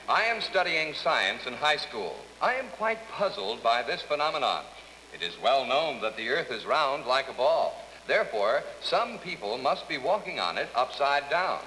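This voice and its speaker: A man playing a formal, straight-faced radio announcer who reads absurd listener letters in a scripted comedy skit. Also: on the high side. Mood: earnest, formal. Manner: deadpan, formal. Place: radio studio. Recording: noisy old radio record, long reverb.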